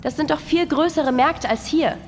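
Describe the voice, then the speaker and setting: A woman's voice: high-pitched, normal speed. A woman in a radio play acting a bossy, cynical, foul-mouthed female work boss with a brusque, scornful manner. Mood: outraged, scornful. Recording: long hall reverb, faint noise.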